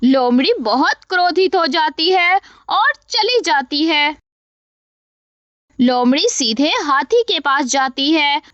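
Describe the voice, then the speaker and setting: A woman narrates a scripted story in a high, bright voice. She takes her time over each phrase. The sound is slightly dull and lo-fi. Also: lively intonation, takes it slowly, dry recording.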